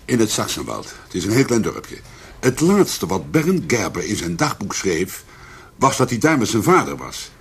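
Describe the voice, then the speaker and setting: An actor playing a determined, brooding police commissioner in a radio drama. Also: male voice, fairly low pitch, huge pitch swings, normal speed, breathy edge, heavily acted. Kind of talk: Dutch radio drama acting.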